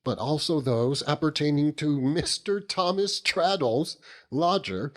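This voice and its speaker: A man voicing a pompous, theatrically gloomy middle-aged gentleman in debt, in florid phrases, for an audiobook. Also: a little high, measured pace, pitched above the performer's own voice, lightly acted. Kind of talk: audiobook character reading.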